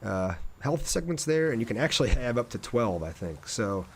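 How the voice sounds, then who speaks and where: A man's voice: mid-range voice, big pitch swings, moderate pace, slightly rough. A man streaming a game, chatting casually and in a laid-back way with his viewers as he plays. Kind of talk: casual livestream chat over gameplay.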